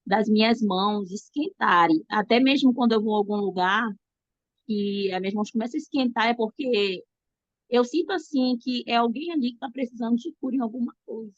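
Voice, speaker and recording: A woman with a Brazilian accent and a hoarse voice from a cold asks her question haltingly, restarting her words. Her audio is dry and muffled, coming through a video call. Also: a little high, no echo. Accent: Brazilian Portuguese. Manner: hesitant, restarts often. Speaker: student asking a question.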